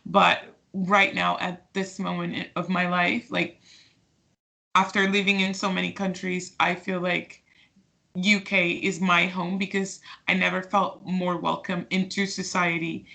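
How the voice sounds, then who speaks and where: A woman's voice: lowish voice, little pitch movement, a little slow, gravelly, bright, forceful tone. A woman in her twenties from Portugal, speaking casually as an interview guest, with many fillers and restarts. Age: 20s.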